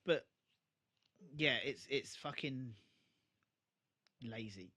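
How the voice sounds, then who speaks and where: Man chatting casually, mid-pitched voice. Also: very slow, pushed and bright. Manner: sweary, conversational. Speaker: podcast co-host. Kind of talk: casual chat, recapping a TV episode.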